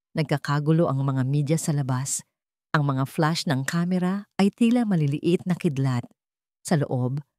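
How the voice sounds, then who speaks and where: A woman with a Filipino accent narrating a scripted dramatic story in a measured, theatrical way, phrase by phrase. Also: sits low, a little mellow, dry recording, clean studio sound.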